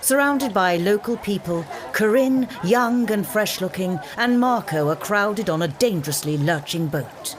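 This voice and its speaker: A woman's voice: medium pitch, animated, a little slow, hardly any pauses, background noise. A woman reading stage directions as scripted narration. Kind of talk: scripted narration of a screen musical.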